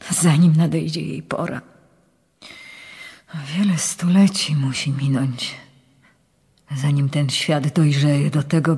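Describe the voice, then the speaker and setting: A frail, pleading nun in a radio drama. Her voice is hushed and creaky, with a flat, low pitch and a breathy edge. She speaks haltingly, like someone confiding a dread secret. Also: heavily acted. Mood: weary, pleading. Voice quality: breathy, whispery, husky. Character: frail foreign nun, mystical, fearful, pleading. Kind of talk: radio drama letter monologue. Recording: long hall-like reverb.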